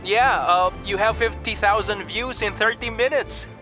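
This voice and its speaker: An actor playing a hesitant, businesslike television staffer in a radio drama. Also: male voice, high voice, measured pace, sharp tone. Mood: polite, eager. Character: hesitant morning TV show staffer phoning. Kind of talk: Filipino radio drama acting. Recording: music behind the voice.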